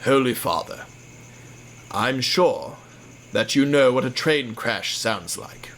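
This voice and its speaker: A man playing an old steam engine in an audio drama, confessing darkly in a grave, measured voice. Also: mid-range voice, lively intonation, fairly bright tone, slight background noise, rough recording. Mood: grim confession. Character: old male engine confessing a dark secret.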